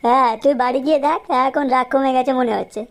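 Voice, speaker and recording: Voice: sits high, fairly even intonation, fast talker. An actor playing a cheeky young village friend in a cartoon, with an offhand way of talking. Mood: teasing. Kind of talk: Bengali cartoon acting.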